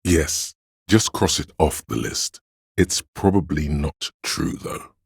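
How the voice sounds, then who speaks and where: Very deep gravelly grave game narrator. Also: male voice, animated, slow, dry recording, studio quality, exaggerated voice. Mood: intimate, musing. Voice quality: very deep gravelly husky rasp. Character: inner voice of police camaraderie, grave omniscient male narrator. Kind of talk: dramatic literary game narration.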